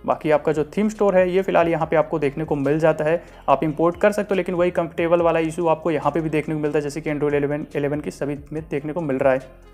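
Fast-talking Indian man, higher-pitched voice. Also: fairly even intonation, darkish tone. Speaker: tech reviewer. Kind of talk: phone software review narration. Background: light background music.

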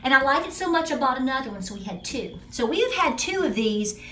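A chatty woman with a fairly high voice talks straight to her viewers, emphatic and friendly. She is recorded on a handheld phone in a small bathroom that rings with echo. A faint hiss sits under her voice. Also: big pitch swings, brisk, bright, forceful tone. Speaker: homesteading channel host. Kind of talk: casual product review vlog.

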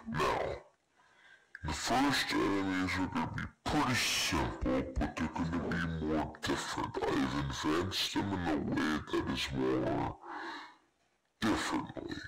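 A man voicing a dismissive game master who creates monsters, gravelly and halting, on a noisy amateur recording. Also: a bass voice, lively intonation, fairly bright tone, heavily acted.

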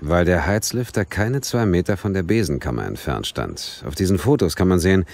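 A stubborn, sardonic detective in an audio drama. The voice is very deep and husky, swinging widely in pitch as he argues his point with dry insistence. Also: expressive, fairly quick, darkish tone, full character voice. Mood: dry, insistent. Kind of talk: German audio drama acting.

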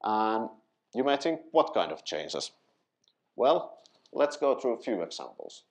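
A man in his thirties from Finland speaks at a tech meetup, his voice sitting a little low. He explains step by step with frequent fillers and self-corrections. He is picked up by a headset mic in a small event room. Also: very animated, a little slow. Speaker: conference speaker.

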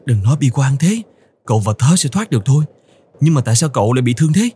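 Steady, reassuring cartoon antelope. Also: male voice, medium pitch, fast talker, slightly rough. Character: calm, kindly, reassuring male antelope friend. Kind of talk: cartoon voice acting.

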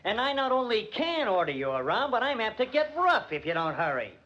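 An actor playing a gruff, bullying police detective in 1940s radio style, brusque and slangy. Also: male voice, sits high, big pitch swings, brisk, bright, forceful tone, full character voice. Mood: forceful, angry. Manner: brusque, slangy tough-guy talk. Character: gruff, bullying, impatient police detective who hates music. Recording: muffled, hissy 1940s radio recording.

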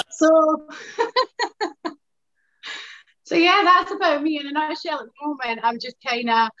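A Scottish woman in her thirties with a Glasgow accent, a guest chatting enthusiastically on a video call from her living room. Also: high-pitched. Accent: Glaswegian Scottish. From Scotland. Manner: upbeat, warm laughter, enthusiastic. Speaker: guest, hair colour director. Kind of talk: casual video-call interview. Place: living room with sofa, indoors. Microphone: video call laptop. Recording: dull lo-fi video-call audio.

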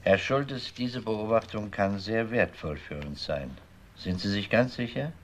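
An actor playing a persistent, no-nonsense police detective with a probing, matter-of-fact manner in a crime radio drama. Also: male voice, fairly low pitch, a little slow. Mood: sober, matter-of-fact.

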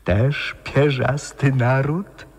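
A sly, ghostly bird-catcher. He speaks slowly in a very hoarse, creaking half-whisper, insinuating and theatrical. The 1960s radio play recording carries long reverb. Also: medium pitch, fairly even intonation, full character voice. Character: sly, ghostly old bird-catcher from opera, gently teasing.

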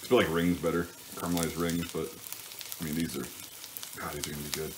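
A man's voice: very deep voice, little pitch movement, a little fast. A man giving casual, informal cooking commentary in a home kitchen, thinking aloud and correcting himself as he works. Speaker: home cook hosting a cooking show. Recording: clear frying sizzle and kitchen noise. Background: sizzling pan and stirring sounds.

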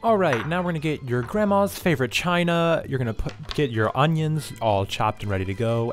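A young man in a home kitchen, hosting a tongue-in-cheek cooking tutorial with mock confidence and halting, repeated words. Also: mid-range voice. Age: young adult. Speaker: host of a cooking parody vlog. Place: home kitchen and dining area, indoors.

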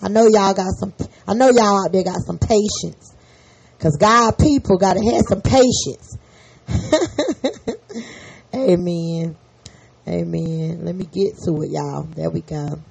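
A hoarse woman hosting a faith podcast, leading a fervent spoken prayer and an encouraging sermon full of repeated amens. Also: fairly low pitch, takes it slowly, long pauses, a little mellow, poor audio. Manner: fervent, repetitive, peppered with amen. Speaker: podcast host and prayer leader.

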